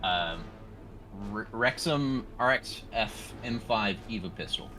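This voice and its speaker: A man's voice: on the low side, takes it slowly, sharp tone, soft background music, rough recording. A man playing a tabletop game, chatting casually in a hesitant, questioning way. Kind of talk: casual chat.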